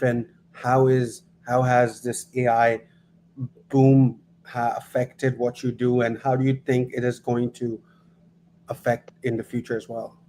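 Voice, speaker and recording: Even-toned Pakistani man, faint noise behind. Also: mid-range voice. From Lahore, Pakistan. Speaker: guest acting as interviewer. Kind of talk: casual impromptu interview.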